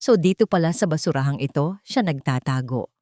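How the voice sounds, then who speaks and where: A woman's voice: animated, fairly quick, warm tone, no echo, clean studio sound. A woman storyteller voicing a haughty, mocking rich society woman with a contemptuous, taunting manner. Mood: sneering. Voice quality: shrill.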